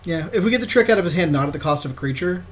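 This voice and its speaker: A man's voice: high-pitched, lively intonation, very fast, a loud crowd. A man co-hosting a podcast, casually talking through plays in a card game. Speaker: podcast co-host.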